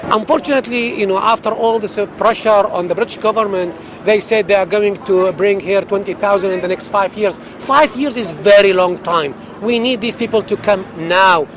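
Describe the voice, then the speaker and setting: A man's voice: high voice, moderate pace, few pauses, fairly bright tone. A man speaking urgently in slightly non-native English at a rally. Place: outdoors at a city rally. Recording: outdoor traffic noise.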